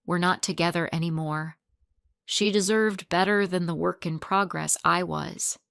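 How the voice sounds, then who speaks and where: A woman reading the lines of a remorseful man, her ex-fiancé, in a quiet, halting manner. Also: lowish voice, fairly even intonation, gravelly, smooth, dry recording, studio quality.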